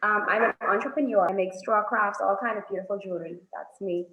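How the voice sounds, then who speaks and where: Soft-spoken woman, roomy phone audio. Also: mid-range voice, a little fast, dull audio. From Bahamas. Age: 30s. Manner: soft-spoken, hesitant with frequent ums. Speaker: guest. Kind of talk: video call interview. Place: indoors, small room.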